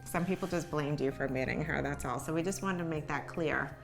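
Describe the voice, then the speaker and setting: A woman's voice: low voice, fast talker, vocal fry, over loud music. A middle-aged woman co-hosting a casual home design chat, reading out viewer questions and explaining with an upbeat, easy laugh.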